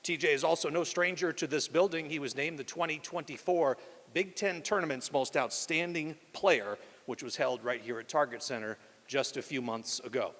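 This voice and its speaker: A male moderator reads scripted welcomes and announcements in a polished announcer style. His voice sits a little high, and it rings through a large, echoing hall. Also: moderate pace, fairly bright tone. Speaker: press conference moderator, radio play-by-play announcer. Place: large indoor arena press conference. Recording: echoey hall with long reverb.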